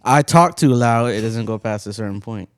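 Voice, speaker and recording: A young man co-hosting a casual podcast, asking questions and explaining points in a steady, plain way. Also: lowish voice, normal speed, clear, resonant tone, no room sound, studio quality. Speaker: podcast co-host. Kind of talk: casual interview chat. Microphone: close studio mic.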